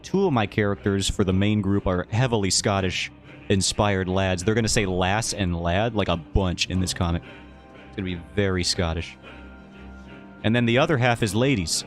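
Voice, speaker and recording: A man streaming while he draws his webcomic, who rambles in a casual, self-mocking and jokey way. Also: fairly low pitch. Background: faint background music.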